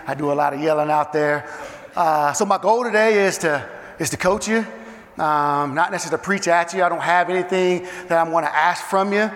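A man in his thirties from South Carolina, slightly hoarse, giving a casual, energetic chapel talk to students as a guest coach. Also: on the high side, a little fast, long reverb tail. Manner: energetic, conversational, says um and uh. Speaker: guest chapel speaker and youth football coach. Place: campus chapel.

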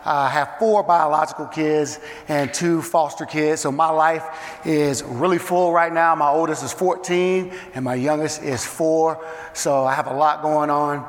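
A slightly hoarse man with a somewhat high voice talks to students in a casual, energetic way, with plenty of ums and uhs. His voice rings in a reverberant chapel hall. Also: a little slow. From South Carolina. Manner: energetic, conversational, says um and uh. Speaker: guest chapel speaker and youth football coach. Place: campus chapel.

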